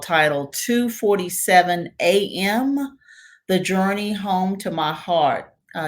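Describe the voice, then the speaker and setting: A woman hosting a podcast interview asks her questions in a very low voice at an unhurried pace. She listens attentively and murmurs agreement often. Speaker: podcast host.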